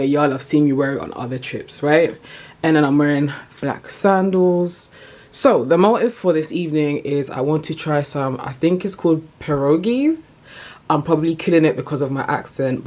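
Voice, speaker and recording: A woman's voice: low-pitched, a little mellow. A woman, chatting casually to viewers as a travel vlogger on a handheld phone camera. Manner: chatty, addresses viewers as "y'all". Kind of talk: casual vlog talk to camera. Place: street and shops of a city, in and out of doors.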